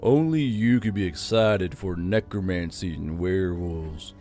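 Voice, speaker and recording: A man narrating a fantasy novel in a steady storytelling manner, with dry, flat touches. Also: low voice, unhurried, faint music behind. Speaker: audiobook narrator.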